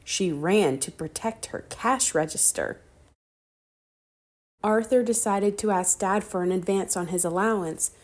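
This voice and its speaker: A woman's voice: mid-range voice, measured pace, a little mellow. A woman narrating a children's picture book aloud. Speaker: storybook reader.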